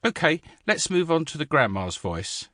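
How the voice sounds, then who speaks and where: Casting: woman as polite, clipped audiobook director, low register, dry studio sound. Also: big pitch swings, moderate pace, fairly bright tone, no echo, heavily acted. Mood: polite, dismissive.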